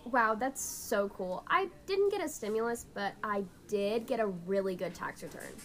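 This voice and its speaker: A woman's voice: medium pitch, animated, normal speed, natural voice. A woman plays a young woman in an amateur radio play, chatting with a friend over lunch. She speaks in a patient, explanatory way, as if teaching through scripted dialogue. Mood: chatty, friendly.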